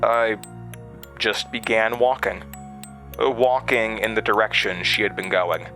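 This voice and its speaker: An actor playing a haunted man recounting a terrifying memory in a horror audio drama, halting and hesitant. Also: lowish voice, fairly even intonation, sharp tone, soft background music. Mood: haunted, tense. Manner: halting, hesitant, with stammered restarts.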